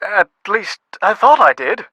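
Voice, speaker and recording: Pompous antiques expert, bright voice. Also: male voice, sits high, sing-song, measured pace, breathy edge, dry recording, heavily acted. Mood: musing. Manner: pompous, courtly, theatrical. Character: genteel, self-satisfied older male antique carousel horse expert. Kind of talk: video game voice acting.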